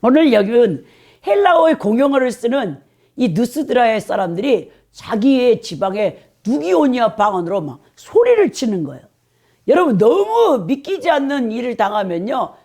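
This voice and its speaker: A woman's voice: a little high, darkish tone. A middle-aged woman pastor with a Jeolla accent, delivering an emphatic sermon and often turning to address the congregation directly. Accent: Jeolla Korean. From Jeolla, South Korea.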